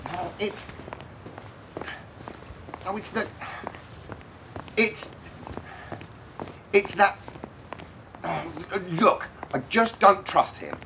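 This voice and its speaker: An actor playing a jealous, stubborn boyfriend in a radio play, defensive and halting when pressed. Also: male voice, high voice, gravelly, background noise, heavily acted. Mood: reluctant, evasive. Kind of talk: naturalistic radio play acting.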